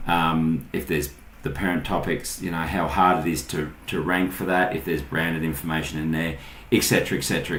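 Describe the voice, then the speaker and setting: A man's voice: low voice, slight background noise. An Australian man hosting an informal online tutorial, explaining things step by step in an echoey room. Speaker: online marketing tutorial host. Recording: echoey, roomy sound.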